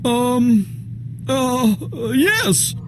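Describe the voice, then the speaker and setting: High, flustered cartoon football coach. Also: female voice, sing-song, very slow, background noise, exaggerated voice. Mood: nervous. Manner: hesitant, stammering. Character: flustered, easily panicked adult football coach. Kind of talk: animated series dub acting. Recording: loud music under the voice.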